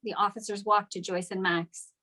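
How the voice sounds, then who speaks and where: A woman reads scripted stage directions as a narrator. Her voice sits at a medium pitch. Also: steady tone, normal speed, bright, forceful tone.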